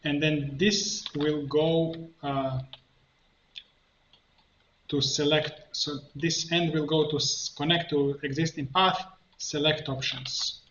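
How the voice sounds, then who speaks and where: A man narrating a step-by-step software tutorial, thinking aloud in a halting way as he works. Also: on the high side, level tone. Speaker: tutorial instructor, coach. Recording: muffled, roomy, faint noise, keyboard clicks. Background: computer keyboard typing and clicking.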